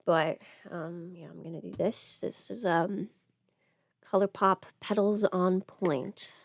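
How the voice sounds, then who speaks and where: A woman's voice: lowish voice, steady tone, slow, creaky. A woman thinking aloud in a casual, rambling livestream chat as she gets ready, swearing lightly. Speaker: livestream host.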